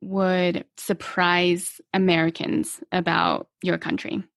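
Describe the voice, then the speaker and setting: A woman's voice: on the low side, level tone, slow pace, gravelly, no room sound, studio quality. A woman who hosts a podcast and works as an immigration attorney, opening the show and asking her guest questions. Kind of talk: podcast interview.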